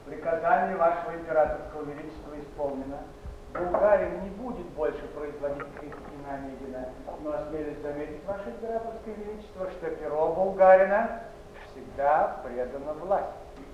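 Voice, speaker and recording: A male actor plays a stern, pompous court official. His fairly high voice rings out theatrically. It sounds distant and very echoey, on a noisy old tape. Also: fairly quick, lightly acted. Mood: deferential, insinuating. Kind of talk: theatrical stage acting. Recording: very echoey, distant, muffled, noisy stage recording.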